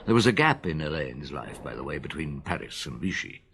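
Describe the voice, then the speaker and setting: Musing old detective narrator. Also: male voice, rumbling low, very animated, gravelly, a bit bright, husky, exaggerated voice. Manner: musing, measured. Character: reflective veteran detective narrating. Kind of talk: radio drama narration.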